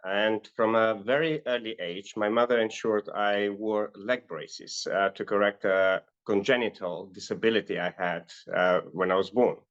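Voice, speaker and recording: A middle-aged man from North Macedonia, a life and business coach, earnestly telling his life story as an interview guest, often pausing on "uh". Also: fairly low pitch, fairly even intonation, a bit bright, muffled recording. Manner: earnest, with frequent "uh" fillers.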